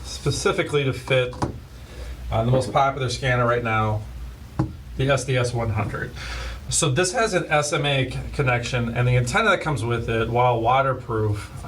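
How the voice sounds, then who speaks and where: A man hosting a casual product demo, explaining plainly with many fillers. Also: mid-range voice. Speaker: host of a scanner shop's product videos. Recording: voice a bit far from the mic, faint hiss.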